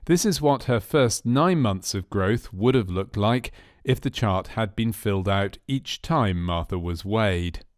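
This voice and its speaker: Low-voiced man narrating a training film. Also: lively intonation, dry recording, clean studio sound. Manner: measured, factual delivery of clinical case history. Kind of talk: scripted documentary narration.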